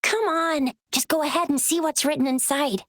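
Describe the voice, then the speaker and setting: A woman's voice: high-pitched, normal speed, a bit bright, dry recording, clean studio sound. An actress playing a bold, joking young woman companion in a game cutscene. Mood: breezy. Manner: brash, joking, breezy.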